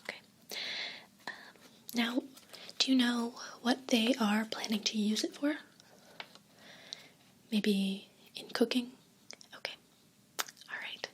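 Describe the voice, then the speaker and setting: A woman gently whispering an ASMR role play as an attentive, unhurried shop clerk, close to a binaural mic. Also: on the high side, steady tone, slight background noise. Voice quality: breathy whisper. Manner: gentle, unhurried, attentive customer-service patter. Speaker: ASMR performer playing a herb shop clerk. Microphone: close binaural microphone. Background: crinkling and rustling paper sounds.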